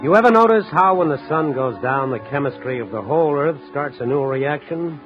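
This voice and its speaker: A male actor plays a world-weary American newspaper reporter narrating a 1950s radio drama. His voice sits slightly high for a man, reflective and hardboiled. The old recording is dull, with music behind him. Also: lively intonation, fairly quick, natural voice. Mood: brooding, reflective. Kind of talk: 1950s radio drama acting, first-person noir narration.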